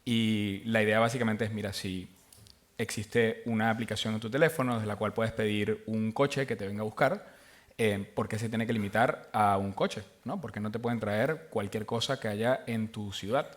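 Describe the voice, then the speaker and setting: Man from Venezuela, panel guest, low-pitched, halting conversational delivery. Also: fairly even intonation. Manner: halting, with many fillers and restarts. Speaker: panel guest, tech executive.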